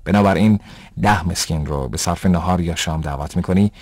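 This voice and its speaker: A man playing a patient, pious father who explains rules in a measured, didactic way in an educational cartoon. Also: low-pitched, husky, dry recording, clean studio sound. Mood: instructive. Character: patient, pious father teaching his son religious rules. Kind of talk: dubbed educational cartoon dialogue.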